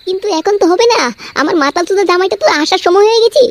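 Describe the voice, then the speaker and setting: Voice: extremely high pitch, racing. An actor voicing a flirtatious, deceitful, discontented village wife in a comedy cartoon, coy and exclaiming. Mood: eager, coy. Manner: coy, exclaiming, repeats words eagerly. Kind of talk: amateur comedy cartoon dub. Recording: clear noise behind the voice.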